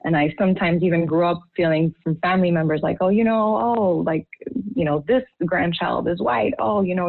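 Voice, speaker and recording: A woman's voice: fairly low pitch, no echo. A young woman from Haiti speaking as a podcast guest, candid and heartfelt, often restarting her sentences. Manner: heartfelt, halting with many restarts. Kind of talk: candid personal testimony. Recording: tinny phone-like line.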